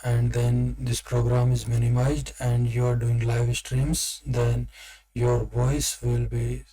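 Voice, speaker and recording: A man narrating step-by-step software instructions as a tutorial presenter. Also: mid-range voice, dry recording. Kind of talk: instructional screen-recording narration.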